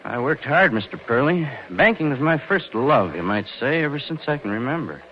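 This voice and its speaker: An actor playing a cocky, scheming young bank swindler who narrates in a wry, slangy, confiding way in 1940s radio noir style. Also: male voice, mid-range voice, very animated, moderate pace, lightly acted. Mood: earnest, ingratiating. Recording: muffled old radio recording, loud music.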